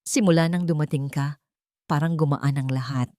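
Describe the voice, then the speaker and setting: A woman narrator plays a warm young Filipina caregiver in a love story. She speaks tenderly and earnestly, full of hope, in a dry, close sound. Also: sits low, fairly even intonation, moderate pace, dry recording, close to an everyday voice.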